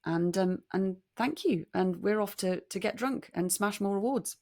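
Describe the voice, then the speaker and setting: A middle-aged British woman with a slightly husky voice, chatting casually and jokily as a rambling podcast co-host. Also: mid-range voice, moderate pace, a little mellow. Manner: jokey, rambling. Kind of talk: casual chat with thank-yous.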